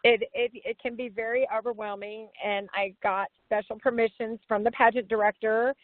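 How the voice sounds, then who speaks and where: A woman's voice: a little high, fairly even intonation, normal speed, no echo. Woman, fifties, folksy and chatty guest; tinny dry phone line. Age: 50s. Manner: chatty, folksy, laughs often. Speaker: pageant titleholder guest. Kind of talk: casual interview chat.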